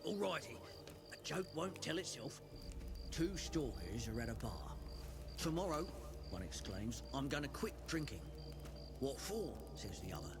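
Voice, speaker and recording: An actor playing a jovial stalker in a video game, telling jokes with theatrical delivery. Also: male voice, mid-range voice, very animated, unhurried, long pauses, over music. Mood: playful. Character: jovial campfire joker, a stalker cheering up comrades.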